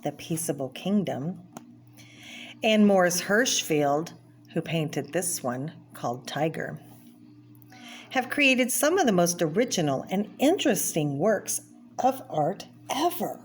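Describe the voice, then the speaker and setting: A woman's voice: fairly low pitch, big pitch swings, takes it slowly. A woman reading a children's book aloud in a plain, explanatory way, as if to young listeners. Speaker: reader of a children's art book. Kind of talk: read-aloud narration with brief personal asides. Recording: clear background noise, homemade read-aloud video.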